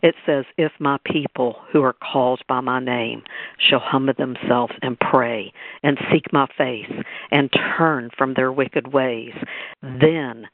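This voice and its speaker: Gravelly man praying over a phone line. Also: medium pitch, fairly even intonation, slow, dry recording. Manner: reverent, repetitive, quoting scripture. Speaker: prayer leader on a group call. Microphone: telephone on a conference call. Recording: narrow tinny phone line.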